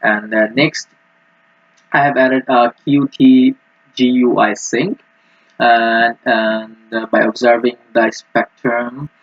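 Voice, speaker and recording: A man giving a technical walkthrough as a student presenter, speaking in a halting, hesitant way. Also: medium pitch, steady tone. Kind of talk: technical screen-recording walkthrough. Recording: muffled, roomy lo-fi microphone.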